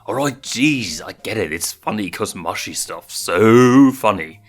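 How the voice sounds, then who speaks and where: A man reads a sly young lion cub in a storybook tale, with a fairly low voice. He is dry, mocking and easily irritated. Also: animated, measured pace, soft background music.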